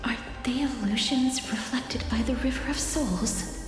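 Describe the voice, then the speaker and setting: Gentle, solemn young woman, actress, soft breathy delivery, highish and unhurried, faint music, game role. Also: close to an everyday voice. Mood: pensive. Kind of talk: video game voice acting.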